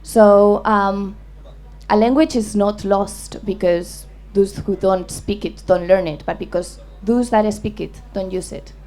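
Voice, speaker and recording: A woman from the Basque Country, a native Basque speaker, giving an unscripted answer on a panel in an earnest, deliberate way. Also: medium pitch, fairly even intonation, mellow. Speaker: poet on a festival panel.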